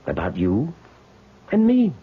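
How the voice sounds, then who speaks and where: An actor playing a coaxing man in his late twenties with a husky voice in a 1970s radio drama. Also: mid-range voice, wildly swooping pitch, slow pace, narrow, tinny audio, heavily acted. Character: smooth-talking twenty-eight-year-old man wooing a woman.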